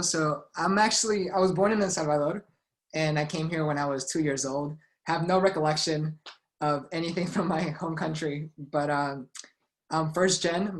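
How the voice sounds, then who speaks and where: A man from Los Angeles, casually introducing himself as co-presenter on a video call, relaxed and chatty with light laughs. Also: fairly high pitch, low-quality recording. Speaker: webinar co-presenter.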